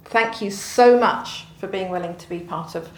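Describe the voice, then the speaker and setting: A woman's voice: mid-range voice, moderate pace, roomy sound, rough recording. A woman hosting an interview show, reading a scripted introduction and reacting with effusive, enthusiastic interjections. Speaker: host.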